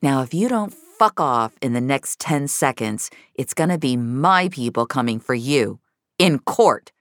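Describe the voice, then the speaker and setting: A woman puts on an arrogant Hollywood leading man for an audio drama. He is curt, cold and impatient, with a very low voice. The recording is dry. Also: animated, a little slow, no room sound. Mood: cold, threatening. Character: arrogant, irritated male Hollywood star and father.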